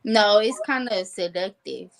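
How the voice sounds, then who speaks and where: A woman's voice: medium pitch, a little slow, creaky, fairly bright tone. A woman co-hosting a casual chat, playful and teasing, laughing often.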